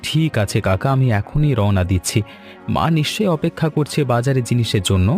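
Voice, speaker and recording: A man's voice: mid-range voice, lively intonation, fairly quick, a little mellow, soft background music, close to an everyday voice. A man voicing a polite, grateful young village man in a cartoon. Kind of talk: cartoon voice acting.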